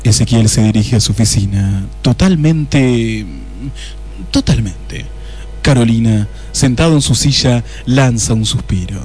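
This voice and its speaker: A man's voice: medium pitch, unhurried, darkish tone. A man narrating a comic radio play in a theatrical manner. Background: music.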